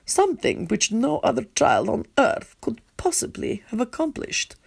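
A woman voicing a calm, stern but kindly vampire prince, speaking in a measured, formal way. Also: fairly high pitch, vocal fry, husky, pitched above the performer's own voice, heavily acted. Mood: kindly, firm.

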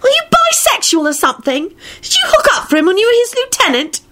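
A woman's voice: very high-pitched, very animated, fast, pushed and bright, pitched above the performer's own voice, heavily acted. A woman voicing a fiery, outraged teenage girl who shrieks heatedly in a story.

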